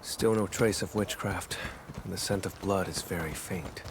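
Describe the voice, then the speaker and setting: A man voicing a stoic, brooding vampire hunter in a video game, speaking in a terse, grave and deliberate way. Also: sits low, background noise. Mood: watchful, analytical. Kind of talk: scripted game dialogue.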